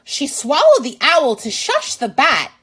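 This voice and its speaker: A woman's voice: high-pitched, huge pitch swings, unhurried, fairly bright tone. A woman reading a storybook aloud to young children as a teacher and host, with exaggerated expression and asides to the listener.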